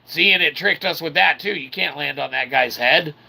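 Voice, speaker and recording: Male gaming host, heavily creaky voice, slightly high-set, casual and wordy playthrough commentary, noisy recording. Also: normal speed, pushed and bright. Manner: mock-formal, wordy phrasing mixed with slang; refers to himself as "we". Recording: clearly noisy background.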